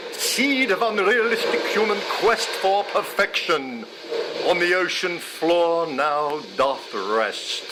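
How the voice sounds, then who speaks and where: A man reading poetry aloud in a solemn, measured, dramatic way. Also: on the high side, noisy background. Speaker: poetry reader. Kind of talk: expressive poetry recitation. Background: music.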